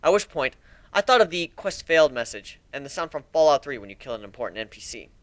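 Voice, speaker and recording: A man giving an expressive, wry dramatic reading of a first-person story as an amateur narrator. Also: mid-range voice, quick pace, dry recording.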